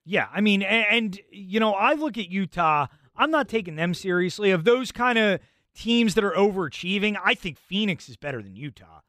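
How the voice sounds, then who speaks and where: A man's voice: sits high, moderate pace, no echo, clean studio sound. A young adult man hosting sports talk radio, conversational and filler-heavy. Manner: animated, conversational, many fillers.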